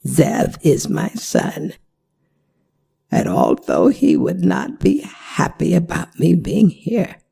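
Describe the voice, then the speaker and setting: A woman voices a motherly older she-werewolf in an ASMR audio drama. Her voice is heavily gravelly and breathy, with dramatic swoops in pitch. She is gentle and worried, addressing the listener tenderly. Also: heavily acted. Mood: gentle, anxious. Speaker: voice actor.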